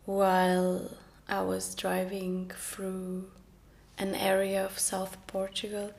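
A young woman speaks English slowly and softly. Her pitch stays quite level as she tells her story, pausing and restarting now and then. She sounds reflective and unhurried. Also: gravelly. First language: Norwegian. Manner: soft and reflective, with small restarts. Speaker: podcast host. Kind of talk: personal storytelling.

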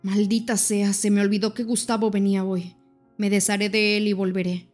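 In this audiobook, a protective young vampire husband, as the woman narrating reads him speaks low and urgently, with a tense edge that goes cold. He talks in a steady, flat line with little rise and fall. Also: normal speed, soft background music, close to an everyday voice. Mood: irritated.

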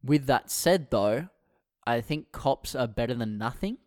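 A man's voice: mid-range voice, expressive, a little slow, darkish tone, no room sound, clean studio sound. A young man co-hosting a political podcast, chatting casually with wry asides. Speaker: podcast co-host.

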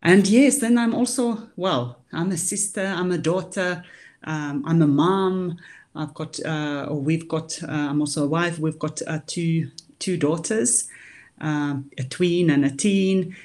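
A woman, a life coach answering interview questions, thinking aloud with pauses. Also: low-pitched, a little mellow. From Finland.

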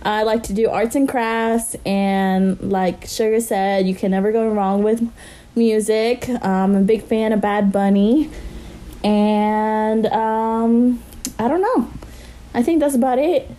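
A woman's voice: a little high, steady tone, slow, warm tone. A young woman from Kentucky, co-hosting a casual podcast, rambling with fillers and giggles. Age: young adult. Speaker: podcast co-host. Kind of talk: casual chat.

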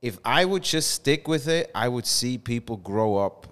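A man's voice: mid-range voice, lively intonation, a little slow, fairly bright tone, long reverb tail. A man from Switzerland in his thirties, a non-native English speaker, telling his story conversationally as a pastor on a podcast. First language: not English. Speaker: community engagement pastor, podcast guest.

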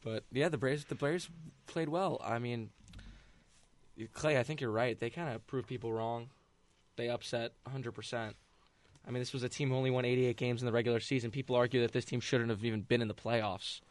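A man's voice: medium pitch, steady tone. Emphatic young man arguing sports. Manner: emphatic, quick to argue. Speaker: co-host, Mets fan. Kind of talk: casual sports talk.

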